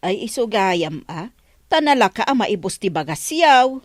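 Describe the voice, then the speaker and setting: A woman's voice: medium pitch, very animated, a little slow, dry recording. A nagging, practical Ilocano village wife in a radio comedy drama. Her mid-range voice rises and falls with lots of animation. It is recorded close and dry.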